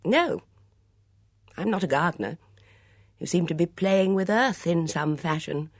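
Woman doing a glib, lively lovestruck young man. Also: lowish voice, a little slow, no echo, studio quality, voice pushed up. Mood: playful, teasing. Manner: flowery, pompous, mock-formal speech. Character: glib, teasing, wordy young man in love.

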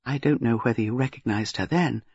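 Reader voicing a prim, softly husky old spinster. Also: medium pitch, no echo, highs cut off, voice pushed down. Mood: gentle, probing. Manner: measured, grave, quietly vexed. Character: prim, shrewd, gentle elderly spinster.

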